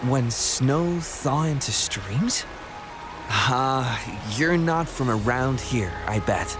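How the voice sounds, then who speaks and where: A man's voice: mid-range voice, animated, slow pace. A man playing a helpful local townsman in a fantasy video game, explaining like a storyteller, with music behind him. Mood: helpful, explanatory.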